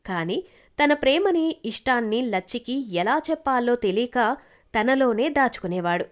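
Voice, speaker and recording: A woman's voice: fairly high pitch, lively intonation, normal speed. A woman narrator reading a scripted moral story.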